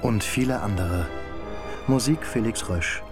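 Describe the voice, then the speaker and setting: A man's voice: lowish voice, unhurried, music in the background. A man formally announcing the credits of a radio drama.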